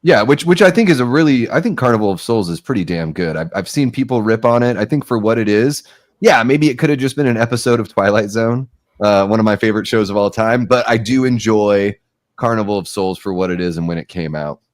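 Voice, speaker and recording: A man in his forties with a fairly low voice, rambling happily through a live movie watch-along as the host. The sound is dry and close, with no room around it. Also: brisk, dry recording. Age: 40s. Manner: talkative, rambling, laughs often.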